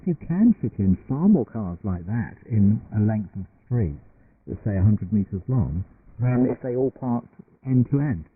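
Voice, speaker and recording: A man chatting casually as a panelist in a radio discussion. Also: fairly low pitch. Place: radio studio. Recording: distant, noisy, tinny shortwave radio reception.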